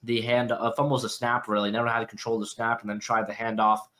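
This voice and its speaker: A man's voice: fairly low pitch, level tone, fast, a bit bright. A young man hosting a football podcast, congested from a cold, rambling through a casual game breakdown and often repeating and correcting himself. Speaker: sports podcast host.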